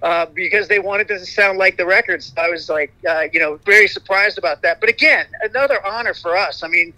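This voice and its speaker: Bright, high-voiced man chatting. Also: a little fast, no room sound, rough recording. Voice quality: high light voice. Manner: rambling, many "you know" fillers and restarts. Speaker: interview guest, singer in a vocal group. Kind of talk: casual interview chat.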